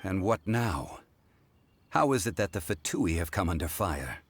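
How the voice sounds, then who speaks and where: A man playing a composed, erudite gentleman in a video game, speaking formally, thoughtfully and deliberately. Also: low-pitched, expressive, a little hiss or hum. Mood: measured inquiry. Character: composed, erudite gentleman consultant, grave and measured. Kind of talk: video game character acting.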